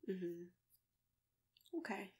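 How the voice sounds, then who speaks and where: A woman playing a kindly, patient matchmaker in an ASMR roleplay, speaking softly and often whispering in a gentle, hesitant way. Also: fairly low pitch, flat delivery, drawn-out words. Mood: gentle, attentive. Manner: gentle, hesitant, murmured acknowledgements. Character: kindly, patient female monster matchmaker interviewing a client. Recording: clear background noise, keyboard typing and clicks. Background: computer keyboard typing.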